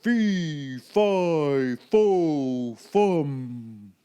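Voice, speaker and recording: A man voicing a huge, wicked storybook giant with a booming, roaring delivery. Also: expressive, drawn-out words, mellow, clean tone. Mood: menacing.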